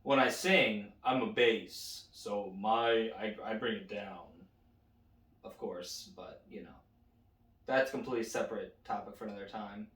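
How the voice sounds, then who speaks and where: A man's voice: on the low side, a little slow, fairly bright tone, reverberant. A man hosting a watch party, chatting and reacting aloud to a cartoon as it plays. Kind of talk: casual commentary while watching a cartoon.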